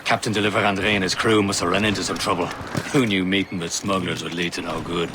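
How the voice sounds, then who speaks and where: Man playing a low-voiced, steady Irish comrade. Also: fast, noisy background, close to an everyday voice. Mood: businesslike. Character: steady, teasing young Irish assassin, loyal senior comrade. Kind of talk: video game cutscene acting.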